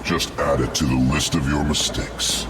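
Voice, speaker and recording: An actor playing a calm, threatening villain leader in a video game. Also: male voice, very deep voice, normal speed, very noisy. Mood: menacing. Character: cold menacing male villain leader. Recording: game score under the voice.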